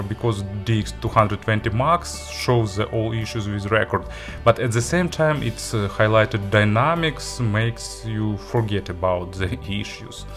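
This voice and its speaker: Male gear reviewer, unhurried and hesitant yet keen, slightly low voice, spoken review. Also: a bit bright.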